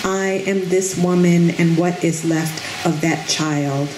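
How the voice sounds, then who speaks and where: A middle-aged woman reads poetry aloud at a podium mic, unhurried and deliberate, her voice sitting a little low. The sound is roomy under an outdoor tent, with a steady hiss of background noise behind her. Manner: slow, measured, deliberate delivery. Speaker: poet reading her own work. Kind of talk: poetry reading. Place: outdoor tent stage at a reading. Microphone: podium microphone on a stand.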